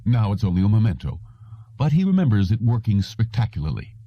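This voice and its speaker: A man's voice: fairly low pitch, very animated, fairly quick, pitched above the performer's own voice. A man voicing a nervous, fretful, heavy-set businessman who muses and mumbles in an audiobook. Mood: anxious explaining. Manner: mumbling, musing. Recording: roomy, dull lo-fi audio.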